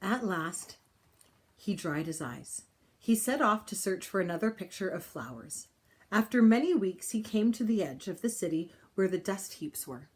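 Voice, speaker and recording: Woman reading a storybook with lively intonation. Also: medium pitch, moderate pace. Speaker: storybook reader. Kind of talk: expressive read-aloud of a children's picture book.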